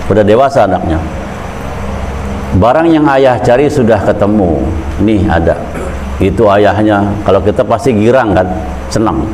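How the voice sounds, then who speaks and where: A man's voice: moderate pace, long pauses, a little mellow, a little hiss or hum, low-quality recording. A man giving an informal Islamic sermon in a conversational storytelling way, his voice climbing when he urges the listeners. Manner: conversational storytelling preaching, pitch rising when urging. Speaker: preacher.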